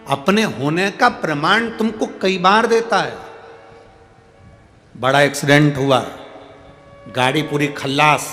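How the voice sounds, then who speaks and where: A male preacher telling a devotional story to a crowd, his voice swinging between loud proclaiming and soft, hushed passages. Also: mid-range voice. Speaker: katha preacher. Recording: reverberant hall sound with faint music behind.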